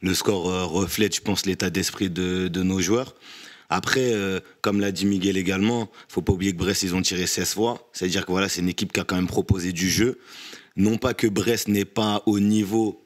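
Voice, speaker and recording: A man's voice: low-pitched, fairly even intonation, moderate pace. A man on a fan panel giving a considered, somewhat hesitant football opinion. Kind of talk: football analysis in casual chat.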